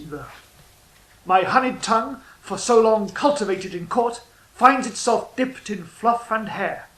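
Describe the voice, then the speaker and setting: A man's voice: sits high, fairly bright tone, reverberant, poor audio. A male actor playing a pompous, put-upon royal poet, declaiming a theatrical monologue in a florid manner. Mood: proud, aggrieved. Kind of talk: theatrical narrated monologue in verse and prose.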